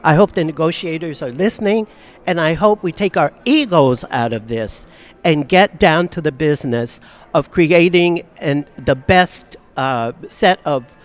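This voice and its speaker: An older woman from Southern California, a professor speaking earnestly and in measured phrases in an outdoor news interview on campus. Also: low voice.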